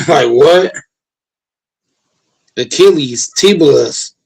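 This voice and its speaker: A man in his late twenties holds forth in loose, crude talk, repeating himself and stumbling over restarts as he builds a point up. His voice sits a little high for a man. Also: animated, extremely slow, vocal fry, breathy edge. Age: late 20s. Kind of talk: casual profane chat.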